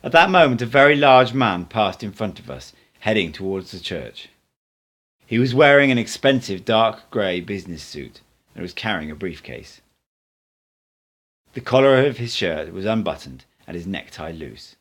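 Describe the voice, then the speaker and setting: A man with a slightly low voice narrates a book. He is an amateur reader delivering scripted, first-person literary prose. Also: sing-song, normal speed, a bit bright.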